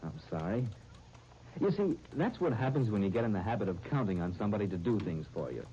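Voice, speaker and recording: A man's voice: lowish voice, big pitch swings, dark tone. An actor playing an eager, earnest young American man who trips over his words, in a 1930s radio drama. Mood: let down. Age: young adult. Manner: halting, stammering over words. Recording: muffled, noisy 1939 radio broadcast recording.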